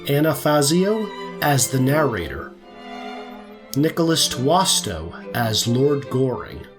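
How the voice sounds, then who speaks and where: Male credits announcer, deliberate pace, animated intonation, scripted read over a violin music bed. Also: medium pitch.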